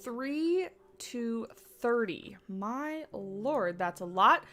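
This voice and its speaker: A congested, sniffly woman hosts a relaxed game livestream. She rambles with many fillers and restarts and reads viewers' messages aloud. Faint music plays quietly behind her. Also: fairly high pitch, extremely slow. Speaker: livestream host. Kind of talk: casual livestream chat.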